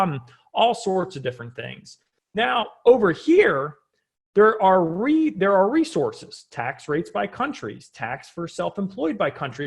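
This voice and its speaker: High-voiced man giving a casual tutorial. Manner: conversational, frequently checking in with "right?". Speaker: online business coach presenting a tutorial. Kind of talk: casual screen-share tutorial, unscripted.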